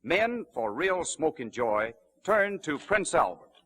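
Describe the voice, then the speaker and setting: A man's voice: fairly high pitch, very animated, takes it slowly, a bit bright, husky. A man announcing a live 1930s radio show from a script, with an emphatic, promotional delivery, on a vintage broadcast recording.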